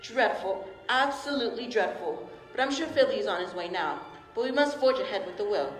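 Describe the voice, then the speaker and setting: A fussy, formal family lawyer acted by a woman in a radio mystery. The delivery is measured and proper at a middling pitch, in an echoey hall with faint music. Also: animated, close to an everyday voice. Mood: fussily composed. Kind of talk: radio drama acting.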